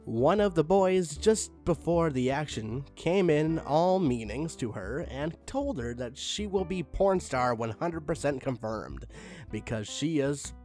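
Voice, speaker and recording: A man reading a badly written story aloud with mocking deadpan asides and spoken punctuation, over faint music. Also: a little high, unhurried. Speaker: reader of online stories. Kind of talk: comedic dramatic reading with commentary.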